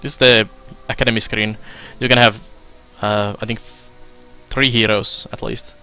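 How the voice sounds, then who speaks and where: A young Finnish-accented man talks through a strategy game on a live stream, relaxed and chatty with plenty of fillers. His voice sits low yet sounds bright and forceful. Quiet music plays underneath. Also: normal speed, clean tone. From Finland. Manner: relaxed and chatty, many fillers, laughs often. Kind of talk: let's play commentary while reading chat.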